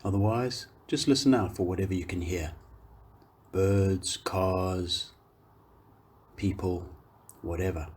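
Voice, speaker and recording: A man guiding a meditation, speaking calmly and gently in unhurried phrases with long pauses. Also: sits low, breathy edge. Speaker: meditation guide, yoga teacher. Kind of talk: guided meditation, slow soothing instruction.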